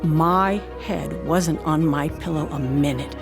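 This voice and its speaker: A proud, haunted housekeeper tells her tale in an audio drama. Her voice is on the low side, expressive and deliberate, with soft music beneath it. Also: gravelly, full character voice. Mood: haunted, grave.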